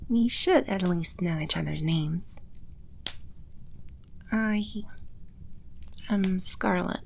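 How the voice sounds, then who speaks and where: Female performer as teasing demon empress for ASMR roleplay: lowish pitch, heavy vocal fry, coy and self-assured. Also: slight background noise, exaggerated voice. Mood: poised, coy. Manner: unhurried, coy, teasing, confident. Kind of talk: ASMR audio roleplay, spoken close to the listener.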